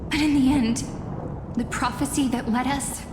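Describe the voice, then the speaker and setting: An actress playing an earnest young heroine in a fantasy video game, burdened yet determined, with a plaintive delivery. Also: female voice, high voice, fairly even intonation, normal speed, lightly acted. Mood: sorrowful, questioning. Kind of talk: dramatic game voice acting. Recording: echoey hall, noisy background.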